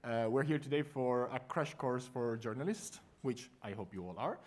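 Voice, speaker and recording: A man with an Italian accent presenting a conference workshop to a live audience, talking in a friendly, lively way with frequent fillers. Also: medium pitch, normal speed. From Italy.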